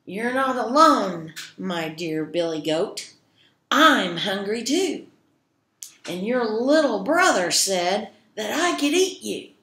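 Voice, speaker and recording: A woman voicing a gruff, hungry, bullying bearded troll in a children's story, loud and demanding. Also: mid-range voice, sing-song, slow, exaggerated voice. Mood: gruff bargaining. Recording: echoey room.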